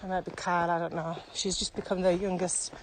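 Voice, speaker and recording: A woman's voice: lowish voice, flat delivery, brisk. A woman co-hosting a casual, reflective podcast chat, asking questions conversationally and slightly out of breath as she walks. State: slightly out of breath from walking uphill. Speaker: podcast co-host. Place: outdoors, walking through a pine grove in Morocco. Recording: clearly noisy outdoor walking recording.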